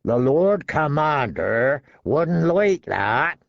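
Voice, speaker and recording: A man voicing a huge, slow-witted, bearded soldier in an audiobook, speaking in a simple, plodding way. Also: on the high side, sing-song, gravelly, dry recording, highs cut off, full character voice.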